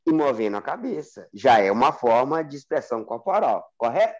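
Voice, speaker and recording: A Brazilian man, a student in an online class, joking and flattering, telling stories animatedly over a video call. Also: medium pitch, a little fast. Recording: dull lo-fi call audio.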